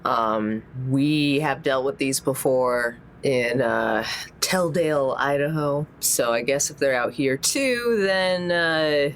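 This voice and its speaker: A woman's voice: very deep voice, slow pace, a little hiss or hum. A woman playing a tabletop role-playing game, improvising casually in a hesitant, informal way.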